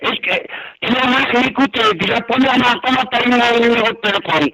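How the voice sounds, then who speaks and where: A man's voice: very high-pitched, a little fast, sharp tone. A man arguing heatedly on a phone call, indignant and threatening, repeating himself. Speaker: prank call target.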